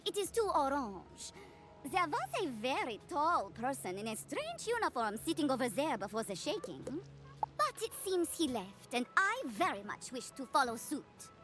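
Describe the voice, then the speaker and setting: Prim game citizen, high-pitched, lively intonation, formal and fussy, music underneath. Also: female voice, moderate pace. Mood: fussy, eager to leave. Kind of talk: video game voice acting.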